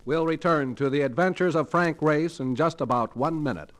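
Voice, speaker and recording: Echoey, high-pitched male radio announcer. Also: normal speed, faint music behind. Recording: echoey vintage radio studio recording.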